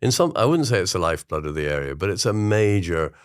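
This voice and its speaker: A man's voice: low voice, big pitch swings, fast, clean tone, no room sound, studio quality. An older man with an Irish accent, chatting as an interview guest in a rambling, thoughtful, anecdotal way. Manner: rambling, thoughtful, often agreeing. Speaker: interview guest, amateur drama society member. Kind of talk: casual chat, anecdotal.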